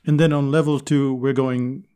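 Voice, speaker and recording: A man's voice: mid-range voice, level tone, moderate pace, dark tone, clean tone. A man hosting a solo podcast, talking in an earnest, conversational way and repeating phrases for emphasis. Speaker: podcast host.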